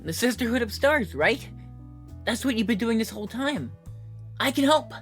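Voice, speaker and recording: A highly animated, light young male voice from an actor playing an earnest superhero friend. He is hesitant and sincere, tripping a little over words, in an audio drama with faint music behind. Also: moderate pace, exaggerated voice. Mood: eager, pleading.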